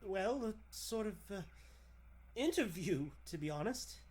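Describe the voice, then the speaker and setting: A man's voice: high voice, lively intonation, unhurried, darkish tone, husky, heavily acted. A man acts a timid young reporter in a 1940s radio drama. He hesitates and stammers through polite apologies. His manner is mild and flustered. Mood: nervous, meek.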